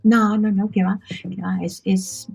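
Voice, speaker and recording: A woman's voice: medium pitch, little pitch movement, measured pace, gravelly, a little mellow, husky, soft background music. A woman in her thirties from Catalonia with a Catalan accent, a native Catalan speaker chatting casually as an interview guest, often adding tag questions. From Catalonia, Spain. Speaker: podcast guest.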